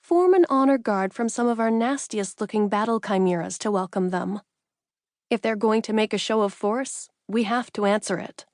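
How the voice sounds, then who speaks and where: Woman acting young female castle warden, determined, commanding, sharp-tongued, fantasy audiobook, medium pitch, dry. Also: normal speed, no echo, natural voice. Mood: firm, commanding.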